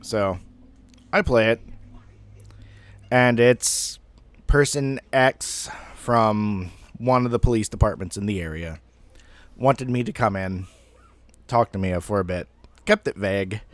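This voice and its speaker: Low-voiced, unhurried man telling a story. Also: dry recording. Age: 30s. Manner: rambling, self-deprecating, mildly profane. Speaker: online storyteller. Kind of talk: casual personal storytelling.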